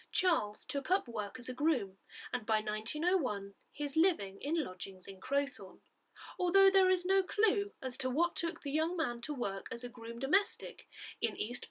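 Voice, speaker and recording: A woman narrates from a prepared script in a fairly high voice. Her intonation rises and falls a great deal. She keeps a measured delivery and enunciates with care, like a reader of an audio newsletter. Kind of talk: scripted narration, reading a written article aloud.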